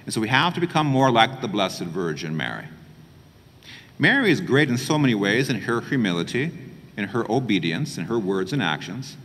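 A middle-aged man from New Mexico, a priest preaching a homily with gentle, expressive delivery and pauses, in a small chapel. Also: mid-range voice. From New Mexico, USA. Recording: church reverb.

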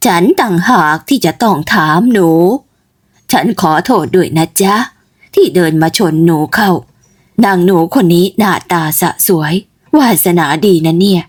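Eccentric elderly village woman, performed by a female storyteller: dropped pitch, folksy, oracular, storybook style. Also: unhurried, slightly rough. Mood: apologetic. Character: eccentric old village madwoman, a fortune-telling granny. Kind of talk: storybook character voice.

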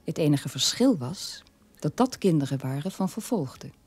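An actress playing a sober middle-aged daughter of a war criminal, telling her past in a measured radio-play monologue with a husky voice. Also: low-pitched, big pitch swings, a little mellow, soft background music, heavily acted. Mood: sober, reflective.

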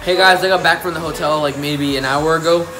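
A man's voice: on the high side, fairly even intonation, a little fast, over music. A teenage American male speaking in a casual vlog style to a handheld camera at arm's length. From United States. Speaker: vlogger, youth soccer player. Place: indoors: home living room, hotel room, busy venue. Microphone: phone or camera at arm's length.

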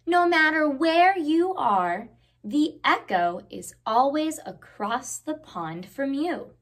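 A woman voicing a wise old male beaver, gently explaining things in a measured storybook manner. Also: sits high, lively intonation, voice pushed up, full character voice. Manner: measured, instructive storybook delivery.

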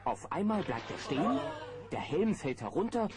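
Ein Mann als Erzähler, der eine Abenteuergeschichte für Kinder vom Manuskript vorträgt. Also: male voice, fairly high pitch, very animated, moderate pace. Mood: dramatic narrating. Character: storyteller of a children's adventure. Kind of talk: scripted narration. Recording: noisy old cassette recording.

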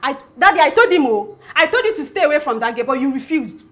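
A woman's voice: high-pitched, sing-song, quick pace, fairly bright tone. A woman with a Nigerian accent playing an outraged, bossy, protective sister, insistent and repetitive, in a screen drama. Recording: echoey room, faint music behind.

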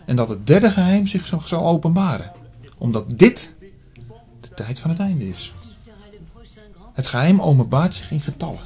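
A man playing an earnest priest in an audio drama, telling his story in a measured, formal way. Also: fairly high pitch, very animated, warm tone. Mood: earnest, explaining. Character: earnest male priest investigating an apocalyptic prophecy. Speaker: narrator and lead actor. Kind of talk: scripted audio drama, first-person narration. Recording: music under the voice.

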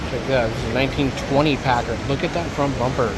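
A man's voice: medium pitch, steady tone, fast. A man vlogging casually as he walks around a car auction, exclaiming in awe at each car. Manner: awed, exclaiming at each find. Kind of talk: casual walkaround commentary. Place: large car auction hall. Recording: loud noisy background. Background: loud crowd and hall noise.

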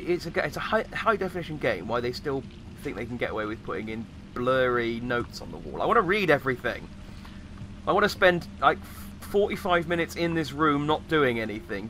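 Slightly croaky man with a bright voice. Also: on the high side, animated, normal speed, a little hiss or hum. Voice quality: slightly croaky, recovering from voice loss. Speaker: gamer, host of a let's play. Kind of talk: casual, rambling game commentary.

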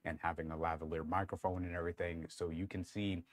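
A young man with a deep voice giving a casual, rambling tech review on a lapel mic in a small room, with lots of fillers. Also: level tone, fast talker, dry recording, studio quality. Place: small room lit with colored lights.

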